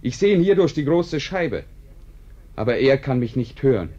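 An actor playing an urbane author who narrates a radio play with courteous, dry irony in measured phrases. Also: male voice, mid-range voice, expressive, mellow, lightly acted. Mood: wry, composed. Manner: courteous, dryly ironic, measured. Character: urbane, ironic male author narrating his own tale. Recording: dull, band-limited radio recording.

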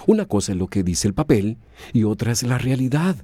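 A man acting a smooth-talking, condescending, placating politician in a radio drama. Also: medium pitch, big pitch swings, a little fast, warm tone, no room sound. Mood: patronizing calm. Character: smooth-talking, patronizing male politician, flirtatious and unbothered.

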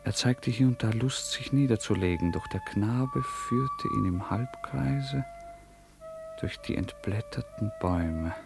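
Slow, gravelly male radio-play narrator. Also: fairly low pitch, over loud music. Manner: measured, solemn storytelling with formal literary diction. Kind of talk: scripted literary narration for a German radio play.

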